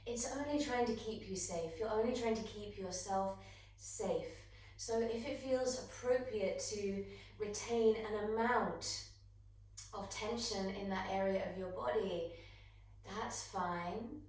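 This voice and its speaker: A woman guiding breathwork and meditation as a yoga teacher, gentle and soothing, with long unhurried pauses. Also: medium pitch. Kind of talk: guided meditation instruction. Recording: echoey room, distant microphone.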